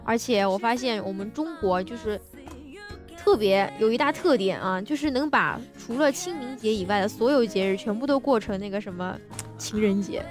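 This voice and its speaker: A woman hosting a bantering radio chat show, playfully teasing and quick to laugh. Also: medium pitch, lively intonation. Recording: music bed under the talk.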